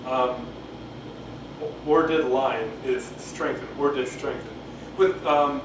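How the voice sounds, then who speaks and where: A man doing a halting, rambling, unrehearsed read-aloud of Shakespeare, stumbling and going back over lines. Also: mid-range voice, fairly even intonation. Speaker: amateur reader of a play. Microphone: camcorder microphone at a distance. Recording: roomy, distant camcorder mic with clear noise.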